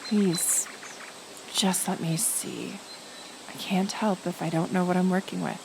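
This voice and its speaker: A woman playing a kind, stubborn healer who lives in the woods, speaking haltingly and earnestly to the listener in a roleplay audio drama. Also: on the low side, steady tone, gravelly. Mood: gentle pleading. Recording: outdoor nature ambience, insect noise. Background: forest ambience with insects.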